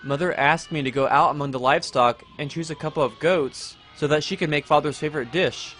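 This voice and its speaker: A man's voice: fairly high pitch, a little fast, fairly bright tone, faint music behind, lightly acted. A man playing a meek, mild younger twin and mother's boy, explaining himself plainly in an audio drama. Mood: earnest, meek. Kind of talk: audio drama interview testimony.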